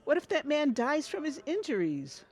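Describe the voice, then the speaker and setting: A woman's voice: high voice, huge pitch swings, normal speed, darkish tone. A woman playing a panicky, accusing housewife in an audio theatre comedy, exasperated and dramatic. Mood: panicked. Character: panicky, accusing housewife jailed after a bar fight.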